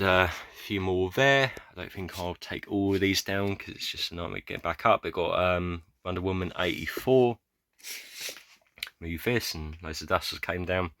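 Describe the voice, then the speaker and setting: A British man giving a rambling, casual tour of his film shelves, hesitant with many fillers, clearing his throat often as his voice goes hoarse. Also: sits low, a bit bright, dry recording. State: going hoarse, losing his voice. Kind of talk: casual room tour vlog.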